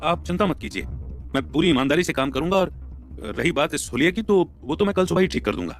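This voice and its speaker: A man voicing a smooth-talking young husband who is eager to placate, in an audio story. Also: a little high, big pitch swings, soft background music. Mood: reassuring. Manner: quick-thinking, placating.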